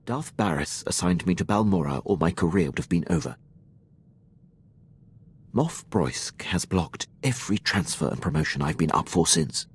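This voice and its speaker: An actor playing a dutiful Imperial military officer in a video game, speaking with precise, deferential formality. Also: male voice, low voice, lively intonation, normal speed, slightly rough, heavily acted. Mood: restrained grievance. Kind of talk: scripted video game dialogue.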